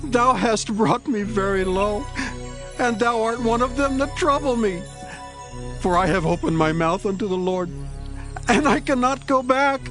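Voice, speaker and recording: A man's voice: very high-pitched, expressive, measured pace, over music. A man acting a solemn, passionate warrior leader in a dramatized scripture reading, declaiming earnestly in archaic English. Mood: anguished.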